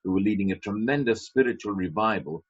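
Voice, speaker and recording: A man's voice: on the low side, expressive, fast talker, breathy edge. A man preaching a sermon as a pastor, emphatic, with wry asides. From Britain. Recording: dull lo-fi sermon recording.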